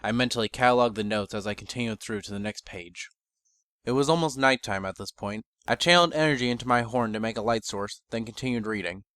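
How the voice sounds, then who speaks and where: A man reading a first-person fantasy story as narrator, in a measured, bookish dramatic reading. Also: mid-range voice, fairly bright tone, clean tone, dry recording, studio quality.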